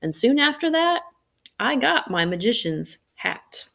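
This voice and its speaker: A woman's voice: on the high side, sing-song, a little slow, gravelly, fairly bright tone. A middle-aged woman, an educator, reading aloud expressively and speaking to young children. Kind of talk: read-aloud storytelling for children.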